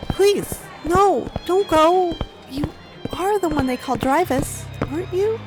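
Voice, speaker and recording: A woman's voice: very high-pitched, animated, takes it slowly, music in the background, exaggerated voice. A woman acting a desperate, earnest street girl of about thirteen in a fantasy audio drama. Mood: desperate, pleading. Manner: pleading, earnest.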